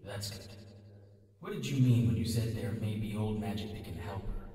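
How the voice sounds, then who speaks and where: A man's voice: fairly low pitch, moderate pace, warm tone, close to an everyday voice. A man playing a brooding, wry, questioning hero with lost memory in a radio drama. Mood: puzzled, searching. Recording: very echoey hall, distant from mic.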